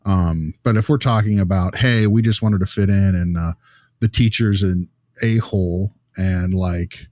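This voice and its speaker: A man's voice: deep voice, fairly even intonation, measured pace, a little mellow, no echo, clean studio sound. A man speaking informally in a casual podcast discussion, blunt and opinionated as a co-host.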